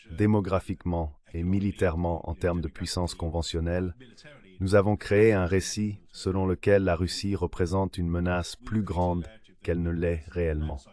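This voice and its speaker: This is a man's voice, clearly synthetic and very low in pitch. It delivers a scripted translation voice-over for an interview guest. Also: steady tone, normal speed, dark tone.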